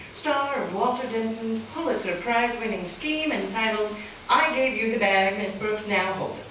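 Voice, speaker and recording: A woman's voice: on the high side, muffled recording. A woman playing a wry, sarcastic schoolteacher with dry, arch wisecracks in an old-time radio sitcom style. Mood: sardonic. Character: wry, sharp-witted female schoolteacher, lovable and sarcastic. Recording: echoey live hall, distant mic, faint noise.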